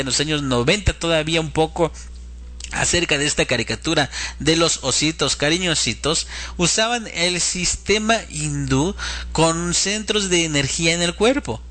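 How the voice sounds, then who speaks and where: A man with a fairly high voice and a very bright, forceful tone delivers a religious talk. He sounds earnest and instructive, addressing his listener directly as a middle-aged teacher of the faith would. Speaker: religious programme host. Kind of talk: religious talk warning against children's cartoons.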